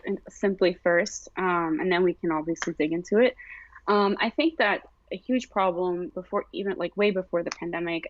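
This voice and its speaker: A woman's voice: on the low side, no room sound, highs cut off. A young American woman, an artist being interviewed, speaking casually with many fillers and restarts. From United States.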